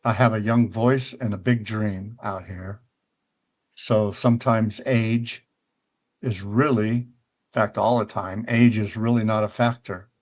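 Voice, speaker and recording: A man's voice: fairly low pitch, steady tone, a little slow. A retired older man hosting a casual show, touring a virtual world and chatting in a rambling, conversational way, often checking in with the listener. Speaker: show host.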